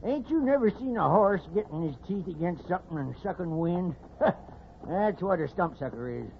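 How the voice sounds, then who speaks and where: An actor playing a jovial, folksy old frontier prospector with a gravelly voice and American Western accent, in radio western style. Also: male voice, high voice, lively intonation, moderate pace. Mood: jovial, teasing. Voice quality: creaky, gravelly. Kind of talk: 1950s radio western acting. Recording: muffled, noisy old radio recording.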